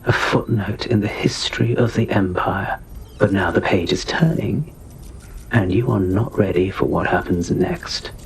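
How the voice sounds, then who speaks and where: A man playing an arrogant captured spy and imperial zealot in a game drama, speaking in a condescending, deliberate, ominous way. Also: deep voice, gravelly, a little hiss or hum, low-quality recording. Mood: contemptuous, menacing. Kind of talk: scripted video game drama.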